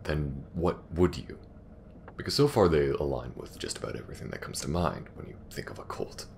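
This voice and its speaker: Attore nel ruolo di un detective dalla voce gravissima e roca. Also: male voice, extremely low pitch, expressive, brisk, gravelly, a little mellow, a little hiss or hum, heavily acted. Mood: skeptical. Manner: blunt, wry, skeptical. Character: gruff, skeptical, watchful male detective. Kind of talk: audio roleplay drama acting.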